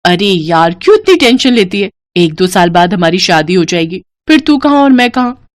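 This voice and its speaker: A woman's voice: fairly low pitch, very animated, moderate pace, no room sound, clean studio sound. A woman voicing a sly, lazy young twin sister in a moral story, wheedling and falsely sweet. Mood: breezy. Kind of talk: acted dialogue in a Hindi moral story.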